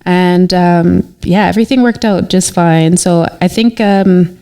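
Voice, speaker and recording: A woman's voice: fairly low pitch, a little slow, dark tone, smooth. A young woman from Canada, an artist guest on a podcast, answering in a casual, hesitant way full of fillers.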